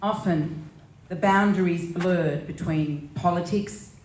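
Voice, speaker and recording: A woman's voice: fairly low pitch, slow pace. A woman giving a scripted dramatic reading on a stage. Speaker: stage reader. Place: live stage reading indoors. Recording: roomy, echoing stage sound.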